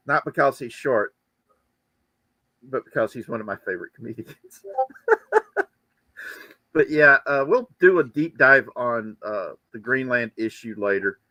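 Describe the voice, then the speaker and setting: Bright-voiced man chatting as livestream host. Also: mid-range voice, no room sound, rough recording. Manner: rambling, joking. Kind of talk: casual chat and political commentary.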